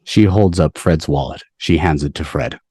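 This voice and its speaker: A man with a deep voice reads scene directions from a script. The sound is dry, with little room in it, like a home video call. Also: normal speed, dark tone, no echo. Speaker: stage directions reader. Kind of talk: scripted narration at a table read. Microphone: video call microphone.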